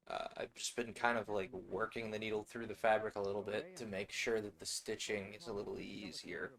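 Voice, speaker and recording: A man chats casually as a livestream host. His voice is fairly low with a lot of fry, and he explains handiwork haltingly, half muttering. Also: steady tone, fairly bright tone. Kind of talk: casual craft livestream chat.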